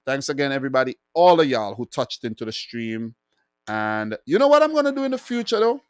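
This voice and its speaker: A man's voice: medium pitch, clear, resonant tone, no echo. A man hosting a football live stream, talking in a loose, joking way with frequent restarts. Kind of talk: casual live-stream chat.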